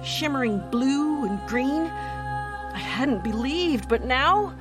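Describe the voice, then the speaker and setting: An actress playing a folk-tale heroine, recounting a perilous adventure in suspenseful, measured audio drama narration. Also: female voice, sits high, animated. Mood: hushed dread. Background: music.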